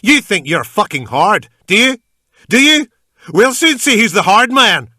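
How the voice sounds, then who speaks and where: A man's voice: sits high, big pitch swings, a little slow, pushed and bright, no room sound, studio quality, pitched above the performer's own voice, exaggerated voice. A man voicing a drunk, enraged male gunman who screams threats, out of control. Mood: screaming rage.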